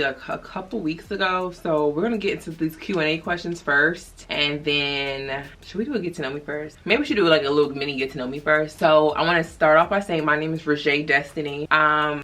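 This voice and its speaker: A woman's voice: low-pitched, sharp tone. A woman, chatting casually to camera as a vlogger, rambling with lots of slang. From New York. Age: 20s.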